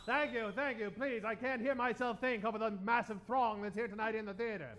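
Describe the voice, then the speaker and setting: A man's voice: very high voice, fairly even intonation, a little fast, fairly bright tone, a little hiss or hum. A man hosting a live improv show in mock old-time radio style, theatrical and tongue-in-cheek, addressing a theater audience. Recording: roomy, echoing theater stage.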